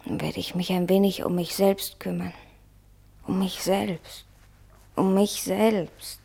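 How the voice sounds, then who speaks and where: An actress in a German radio play as a restless, vain, stage-struck young wife, breathy and intense, often sinking towards a whisper. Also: female voice, on the low side, fairly even intonation, mellow, heavily acted. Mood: weary, yearning. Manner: dreamy, intense, drawn-out phrases.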